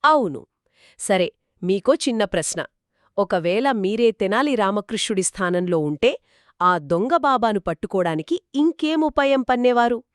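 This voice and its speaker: A woman narrating a folk tale in a lively, expressive storytelling manner, trading lines with a co-host. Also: mid-range voice, a little slow, dry recording, studio quality. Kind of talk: scripted two-voice story narration.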